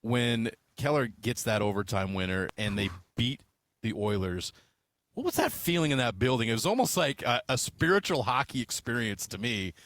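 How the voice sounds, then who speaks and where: A man's voice: medium pitch, animated, normal speed, fairly bright tone, dry recording. An American man co-hosting sports radio, interviewing a guest in a conversational way.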